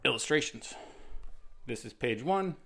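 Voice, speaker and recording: An American man with a mid-pitched voice hosts an informal storytime. He talks casually and modestly, like a writer sharing his own children's story, and stops to explain things as he goes. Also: lively intonation, a little slow, gravelly, fairly bright tone. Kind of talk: informal storytime read-aloud with chatty asides.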